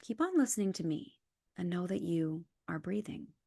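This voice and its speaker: A woman leading a guided relaxation as a yoga nidra instructor, calm and measured, with soft, breathy phrase endings. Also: fairly low pitch, no room sound, studio quality. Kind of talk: guided meditation, scripted instructions.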